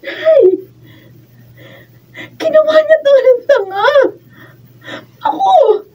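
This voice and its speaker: Very high-pitched, wounded Filipino daughter. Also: female voice, sing-song, extremely slow, heavily acted. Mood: indignant, tearful. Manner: tearful, accusing, emotionally heightened. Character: hurt, indignant grown daughter confronting her mother. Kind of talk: Filipino radio drama acting. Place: radio drama recording studio, filmed on camera. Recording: distant, dull lo-fi room pickup.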